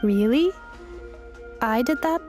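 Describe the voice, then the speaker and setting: Polite, high-voiced robot girl. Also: lively intonation, slow, darkish tone, faint music behind. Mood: puzzled. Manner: formal, questioning. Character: polite, composed female robot with lost memory. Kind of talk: video game voice acting.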